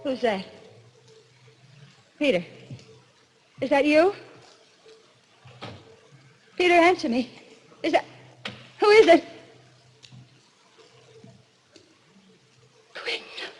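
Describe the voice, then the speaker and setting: A woman's voice: sits high, sing-song, slow, pauses often, heavily acted. An actress playing a frightened, haunted woman in breathless, stammering 1940s radio melodrama. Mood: terrified. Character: terrified young woman haunted by a killer. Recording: noisy vintage radio broadcast.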